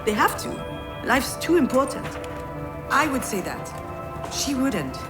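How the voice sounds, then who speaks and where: A woman's voice: high-pitched, sing-song, a little slow, over loud music. A woman playing a troubled, sardonic screenwriter in a visual novel game, with a hesitant, wry and emotionally strained delivery. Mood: anguished, defensive. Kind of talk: naturalistic visual novel voice acting, introspective narration and dialogue.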